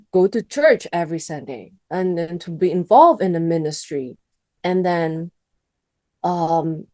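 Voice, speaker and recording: Mellow-voiced Indonesian woman. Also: fairly low pitch, no room sound. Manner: earnest, halting, with many restarts. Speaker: interview guest, country director. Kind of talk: personal testimony in an interview.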